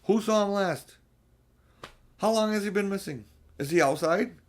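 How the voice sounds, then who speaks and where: A man's voice: sits high, moderate pace, pitched above the performer's own voice, lightly acted. A man voicing worried, questioning children in a storybook, with an earnest, exclamatory delivery. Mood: alarmed. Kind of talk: storybook character voices.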